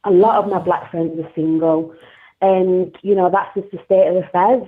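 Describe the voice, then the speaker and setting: A woman with a northern English South Yorkshire accent talks casually as a caller, often breaking into laughter. Her voice sits low and a little husky. It comes through a thin, tinny phone line. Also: fairly even intonation, moderate pace. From South Yorkshire, England. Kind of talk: casual chat. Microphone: phone call.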